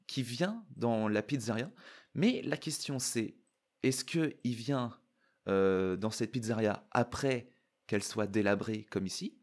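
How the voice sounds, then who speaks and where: Animated man, excitable and slangy. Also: medium pitch. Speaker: YouTuber reacting to and analysing a trailer. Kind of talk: casual reaction commentary.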